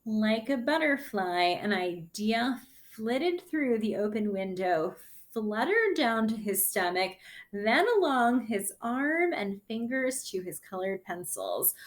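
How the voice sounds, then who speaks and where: A woman reads a children's picture book aloud at a very unhurried pace. Her pitch rises and falls in a lively way, and her delivery is deliberate and explanatory. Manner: deliberate, explains characters' feelings to listeners. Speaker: story reader.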